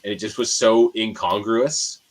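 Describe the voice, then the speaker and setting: A man's voice: lowish voice, steady tone, normal speed. A man from Canada with a Canadian accent, chatting casually and joking, chuckling at his own remarks. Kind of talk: casual chat.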